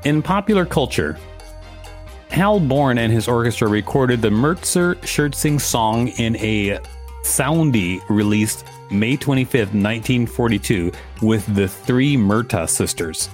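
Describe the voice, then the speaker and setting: A man's voice: mid-range voice, animated, unhurried, faint music behind. A man co-hosting a podcast, reading encyclopedia text aloud and adding casual remarks. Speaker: podcast co-host.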